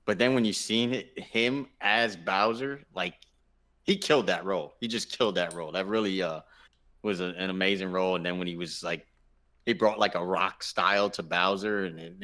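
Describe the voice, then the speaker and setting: A man's voice: deep voice, a bit bright. A man co-hosting a gaming show, talking casually and emphatically with his fellow panelists, often repeating himself for stress.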